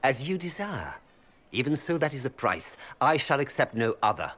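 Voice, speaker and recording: Haughty schemer merchant in a video game, very formal and silky, pitch moves around a lot. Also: male voice, sing-song, a bit bright. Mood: coolly courteous. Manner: formal, silky, measured politeness. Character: haughty, scheming foreign male merchant seeking noble influence.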